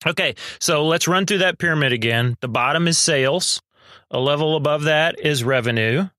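A man's voice: fairly high pitch, normal speed, bright, forceful tone, no room sound, clean studio sound. A man hosting a conversational podcast interview in a folksy way, recapping and paraphrasing as he goes. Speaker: podcast host.

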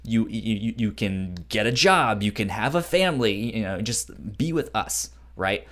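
A man's voice: lowish voice, lively intonation, measured pace, fairly bright tone. A man co-hosting a podcast, discussing a book casually, with a frequent stutter that repeats sounds and syllables. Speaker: podcast co-host.

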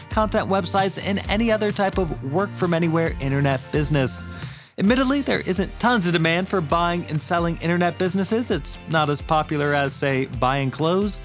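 A man hosting a podcast, giving a scripted introduction and ad read in a polished, measured way. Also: a little high. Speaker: podcast host, university teacher.

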